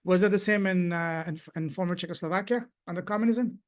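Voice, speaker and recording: A man's voice: high-pitched, fast talker. A man from Afghanistan hosting an interview podcast, asking questions and giving short, attentive acknowledgements. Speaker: podcast host.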